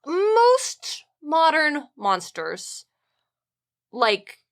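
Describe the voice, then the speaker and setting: Animated woman in casual podcast banter. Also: high voice, extremely slow. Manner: animated, jokey, swears casually. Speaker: podcast co-host. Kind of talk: casual banter about mythology and pop culture.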